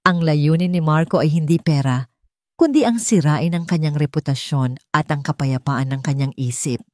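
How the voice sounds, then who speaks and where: Low-voiced Filipino woman narrating a story. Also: moderate pace, a little mellow, no echo, clean studio sound. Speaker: audiobook narrator. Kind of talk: scripted dramatic story narration.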